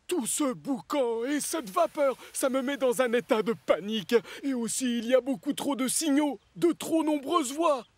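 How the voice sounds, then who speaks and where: A man's voice: extremely high pitch, moderate pace, few pauses, a little mellow. A man voicing a timid, anxious, apologetic little tram engine in a cartoon, hesitant and flustered. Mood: worried, confessing. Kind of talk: French cartoon dub acting.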